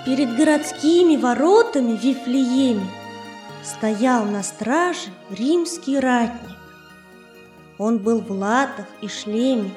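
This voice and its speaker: A woman tells a scripted tale for children as its narrator. Her voice is high and soft-toned, read in a measured, expressive way, with faint music underneath. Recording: roomy reverb, faint music behind.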